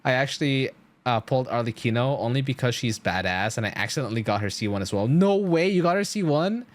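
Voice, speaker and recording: A man's voice: medium pitch, animated, quick pace. A man streaming a game, chatting casually to viewers in an enthusiastic, slangy, rambling way.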